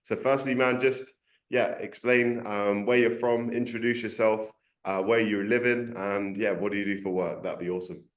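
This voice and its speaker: A man's voice: lowish voice, fairly even intonation, moderate pace. A man hosting a casual interview, upbeat and loose, with lots of fillers. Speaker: coaching program host interviewer. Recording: roomy echo.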